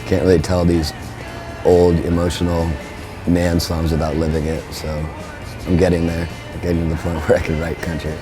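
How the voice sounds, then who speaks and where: A young man, a band's frontman, talking in a relaxed, rambling way with lots of fillers. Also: sits low, moderate pace, over loud music, rough recording. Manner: laid-back, rambling, with frequent fillers. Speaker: band frontman. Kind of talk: relaxed interview talk.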